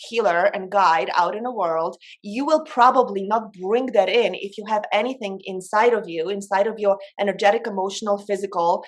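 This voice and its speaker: A woman from Poland, speaking as a coach in an informal, emphatic motivational talk on self-development. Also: medium pitch.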